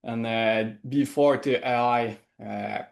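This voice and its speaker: A man from Quebec with a Quebec French accent, a native French speaker, answering interview questions in English and often pausing to search for words. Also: mid-range voice, steady tone, gravelly. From Quebec, Canada. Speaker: interview guest. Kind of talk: casual interview.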